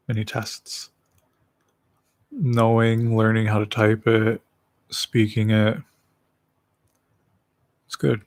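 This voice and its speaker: Slow, rambling man with a lisp. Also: lowish voice, dry recording. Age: late 20s. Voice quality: slight lisp. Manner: rambling, self-deprecating, laughs often; eating while talking. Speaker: livestream host. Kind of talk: casual solo livestream chat.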